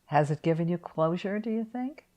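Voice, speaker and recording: A woman playing a gentle therapist who speaks softly and encouragingly. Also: lowish voice, lively intonation, normal speed, lightly acted. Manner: soft, encouraging. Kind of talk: acted therapy dialogue.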